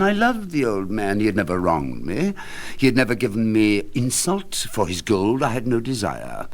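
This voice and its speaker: A man voicing a jittery madman swearing he is sane. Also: fairly low pitch. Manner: lurches between hushed whispers and sharp outbursts. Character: nervous unhinged man insisting he is sane. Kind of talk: dramatic storytelling reading.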